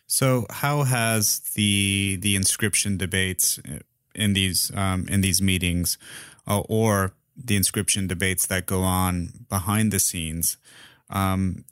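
A man hosting an interview podcast, putting questions conversationally and haltingly, repeating words as he forms his thoughts. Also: sits low, steady tone, fairly bright tone, dry recording, clean studio sound.

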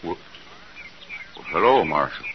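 A man's voice: low voice, sing-song, slow pace, highs cut off, full character voice. An American man acting a troubled, defensive frontier settler with rustic, intense speech in a 1950s radio Western. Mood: bitter, guarded. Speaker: actor in a radio Western drama. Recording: hissy 1950s radio recording.

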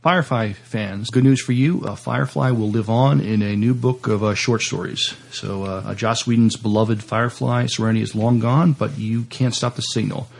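A man co-hosting a podcast, giving casual opinions and news in a hesitant, halting delivery. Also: lowish voice, fairly even intonation, vocal fry. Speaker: podcast co-host. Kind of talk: casual discussion and reading of sci-fi news.

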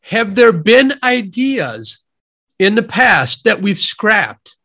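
Brief: Midwestern American man, nasal, high and bright-toned, measured explaining. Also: big pitch swings. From Wisconsin, USA. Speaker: guest economist. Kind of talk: podcast interview, explaining ideas.

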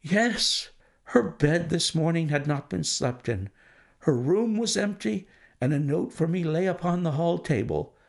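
A man's voice: on the high side, animated, unhurried, creaky, voice pushed up. A man voicing a distraught older male banker who pleads and exclaims anxiously. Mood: grieving.

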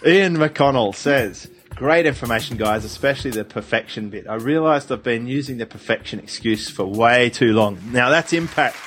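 A man hosts a casual podcast chat in an upbeat, chatty way. His voice sits at a middle pitch, and faint music plays behind him. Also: normal speed, few pauses, a bit bright. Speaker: podcast host.

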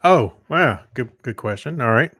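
A man co-hosting a podcast in casual chat, speaking in a halting, easygoing way. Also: mid-range voice, expressive, pushed and bright, husky, no echo, clean studio sound. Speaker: podcast co-host. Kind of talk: casual podcast chat, reading listener feedback.

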